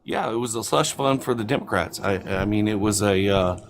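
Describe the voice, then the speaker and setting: A man in his forties calling in to a political stream, talking haltingly with many fillers. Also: lowish voice, slight background noise. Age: 40s. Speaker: caller. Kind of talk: political call-in discussion.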